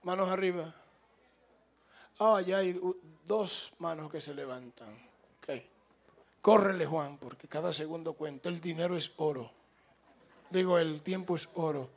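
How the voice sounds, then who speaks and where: A man's voice: on the high side, measured pace, vocal fry, long pauses. A man with a Latin American Spanish accent preaching an impassioned, informal sermon, emphatic and repetitive, prodding his listeners to respond. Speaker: preacher taking questions from congregation.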